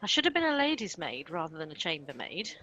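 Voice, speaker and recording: A woman playing a sharp-tongued, bossy but protective chambermaid in a comic radio play. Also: low voice, sing-song, fast, dull audio, full character voice. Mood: wry, bossy.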